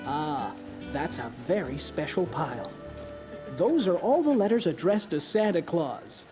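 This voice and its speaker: A man's voice: a little high, very animated, measured pace, over music. A man voicing a friendly, helpful mailman in a children's cartoon, kindly explaining things. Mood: kindly, reassuring. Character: friendly, helpful postal worker showing a child around.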